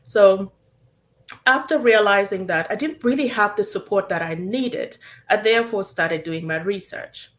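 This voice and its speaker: A woman's voice: mid-range voice, unhurried, fairly bright tone. A woman therapist from Uganda, whose first language is Acholi, speaking earnestly and reflectively as an interview guest. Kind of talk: interview answers.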